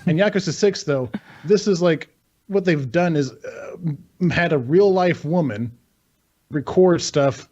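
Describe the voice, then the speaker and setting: A man's voice: fairly high pitch, a little slow, darkish tone. Rambling, profane man, slightly muffled sound. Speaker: podcast co-host. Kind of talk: casual video game chat.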